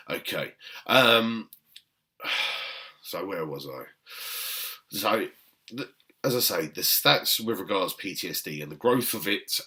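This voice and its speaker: Man, very deep low voice, British, personal trainer, emphatic lecturing, bright forceful tone. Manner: emphatic, lecturing with frequent "okay?" and "um" fillers. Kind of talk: unscripted talk to camera.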